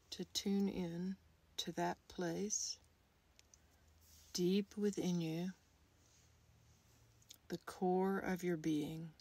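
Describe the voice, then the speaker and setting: Very slow, breathy woman guiding meditation. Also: on the low side, a little mellow, no echo. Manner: soothing, unhurried, with long pauses between short phrases. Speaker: guided meditation leader, creativity coach. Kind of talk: guided meditation, gentle scripted narration.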